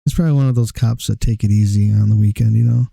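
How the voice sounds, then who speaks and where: A man's voice: on the low side, steady tone, fast, gravelly, mellow, dry recording. A man giving casual, laid-back reaction commentary as the host of a clip show. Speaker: host reacting to scanner clips.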